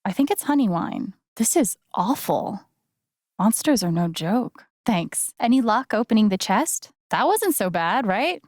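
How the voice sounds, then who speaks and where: A woman voicing an earnest, gentle young game heroine with a wry playful side, in a casual, conversational way. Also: medium pitch, very animated, a little slow, no room sound, clean studio sound. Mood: casual, upbeat. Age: young adult. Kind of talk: naturalistic video game voice acting.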